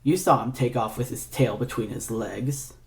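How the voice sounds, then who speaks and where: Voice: mid-range voice, normal speed, creaky, lightly acted. A performer voicing a sardonic male villain scientist in an audio story, theatrical and taunting, with a dry drawl. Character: sardonic male villain scientist, needling his old lover.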